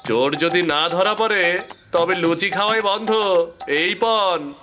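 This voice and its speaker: A man's voice: high-pitched, takes it slowly, over music, voice pushed up. A man voicing a pompous cartoon village uncle who makes mock-heroic declarations in archaic formal language. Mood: grandly resolute.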